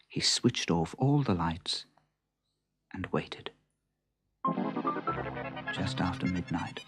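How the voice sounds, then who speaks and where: A man narrating a children's story in a dramatic, suspenseful way. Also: deep voice.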